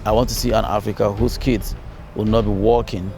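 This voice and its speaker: A man's voice: on the low side, flat delivery, a little fast, over music. A man from Ghana, a city councilor, giving earnest interview answers with fillers and repeated phrases.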